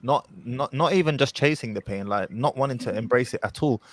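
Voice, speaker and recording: A man in his thirties, a podcast guest, talking bluntly and forcefully. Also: medium pitch, fairly quick, dry recording. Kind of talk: motivational podcast talk.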